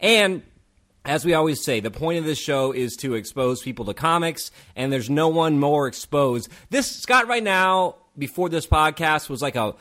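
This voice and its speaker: A man co-hosting a podcast in casual chat who grew up in Japan, playful and animated, often laughing and slipping into silly voices. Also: on the high side, moderate pace. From Japan (grew up on US bases there). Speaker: podcast co-host.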